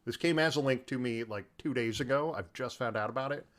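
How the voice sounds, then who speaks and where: A man's voice: medium pitch, fairly quick. Middle-aged man, joking podcast co-host. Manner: joking, slangy, emphatic. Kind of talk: casual chat.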